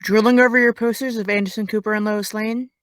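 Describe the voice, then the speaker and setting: A young actress playing a blunt, sarcastic high school girl with no filter. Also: fairly even intonation, fast talker, no room sound, natural voice. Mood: teasing. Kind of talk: audio drama.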